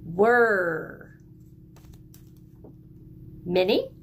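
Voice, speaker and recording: A woman's voice: medium pitch, sing-song, extremely slow, a bit bright, slight background noise. A woman teacher giving a lesson to young children, encouraging them in simple phrases and prompting them to repeat after her. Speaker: early elementary school teacher.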